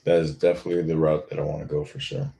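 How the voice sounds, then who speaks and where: A man's voice: extremely low pitch, lively intonation, racing, gravelly, warm tone. A young man hosting a live Q&A, chatting casually and frankly, reading viewer comments and swearing now and then. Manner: laid-back, rambling, frank with casual swearing. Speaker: boyfriend-audio creator hosting a live Q&A.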